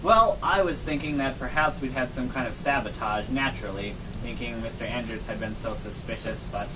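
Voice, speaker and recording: A man playing a composed, self-important airship captain in a comic radio drama, measured and formal. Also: medium pitch, close to an everyday voice. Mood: musing. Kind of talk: comic old-time radio acting. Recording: muffled recording with faint noise.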